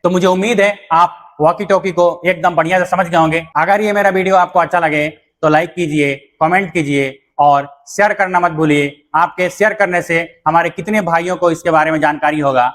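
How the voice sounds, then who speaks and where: A man's voice: on the high side, fairly even intonation, normal speed, few pauses, a bit bright. A man explaining things step by step as an instructor, repeating points plainly. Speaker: instructor and channel host. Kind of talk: explanatory tutorial addressed to viewers.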